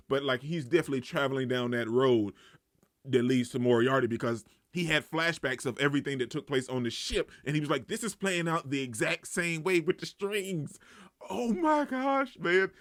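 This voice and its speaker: High-pitched man, casual reaction host. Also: moderate pace, no echo, clean studio sound. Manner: excitable, emphatic, repeats himself. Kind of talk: casual anime reaction and review.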